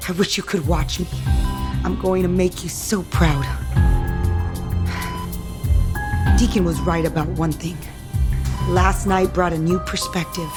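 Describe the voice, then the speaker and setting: An actress playing a proud, bitter, scheming rich wife in an audio soap opera, haughty and cutting. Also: female voice, fairly low pitch, natural voice. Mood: wistful, determined.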